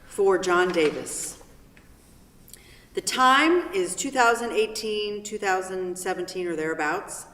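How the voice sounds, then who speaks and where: A woman's voice: medium pitch, lively intonation, takes it slowly, fairly bright tone. A woman reading scripted stage directions as the narrator of a staged play reading. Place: theatre, recorded from far off. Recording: echoey hall, distant microphone.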